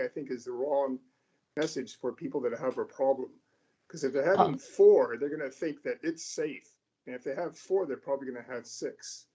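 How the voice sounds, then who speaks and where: A Canadian man speaking up on a video call, mid-pitched and hesitant at first. He then presses his point with a firm, skeptical edge. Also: dark tone, low-quality recording. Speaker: webinar attendee asking a question.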